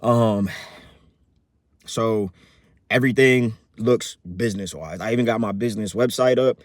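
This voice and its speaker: A man in his thirties talks through a casual personal update, voice sitting a bit low. He rambles, filling gaps with ums and restarting his sentences, easygoing and upbeat. The sound is close and dry, with no room around it. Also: unhurried, dry recording. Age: 30s. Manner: rambling, many fillers and restarts; relaxed, upbeat. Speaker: vlogger.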